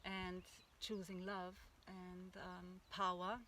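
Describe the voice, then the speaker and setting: Bright-voiced Austrian woman, enthusiastic. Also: fairly low pitch, completely flat delivery, slow pace, a little hiss or hum. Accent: Austrian German. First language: German. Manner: enthusiastic, searching for words. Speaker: workshop co-host. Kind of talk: casual spiritual talk. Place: outdoors under an old oak tree.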